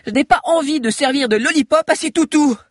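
A woman's voice: sits high, fairly quick, dry recording, studio quality, pitched above the performer's own voice, lightly acted. A man voicing a defiant, cheeky young woman with flippant, teasing delivery in an audiobook story. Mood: wry defiance.

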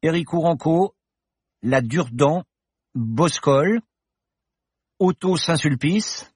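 A man voicing an alarmed, sharp-tongued young woman. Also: lively intonation, takes it slowly, breathy edge, dry recording, clean studio sound, heavily acted. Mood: anxious. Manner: alarmed, protesting, shrill.

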